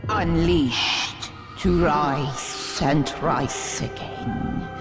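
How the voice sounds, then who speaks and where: A woman voicing an ancient, wrathful spirit of forest and river in a fantasy game, speaking archaic riddles in incantations that often sink into breathy whispers. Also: sits low, extremely slow. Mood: ominous, solemn. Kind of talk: dramatic fantasy game acting. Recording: loud cinematic music behind.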